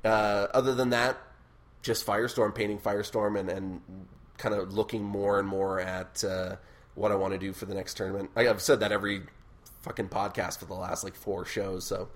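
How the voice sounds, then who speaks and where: A man hosting a casual podcast chat, with a loud, booming voice, swearing freely and laughing often. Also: flat delivery, moderate pace. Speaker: podcast host.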